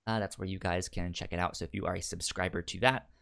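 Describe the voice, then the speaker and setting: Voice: deep voice, fairly even intonation, fast talker, gravelly, a bit bright. A podcast co-host chatting casually and recapping shows, often stammering and repeating words and syllables. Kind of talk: casual chat, pitching and recapping anime.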